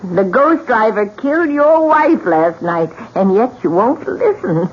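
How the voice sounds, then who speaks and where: An actress playing an ominous, insistent old widow who gives grim warnings in a dramatic radio play. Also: female voice, a little high, sing-song, a little slow. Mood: foreboding, insistent. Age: older. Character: ominous old widow, grimly warning of her husband's ghost. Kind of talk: 1970s radio drama acting. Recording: muffled, noisy 1970s radio recording.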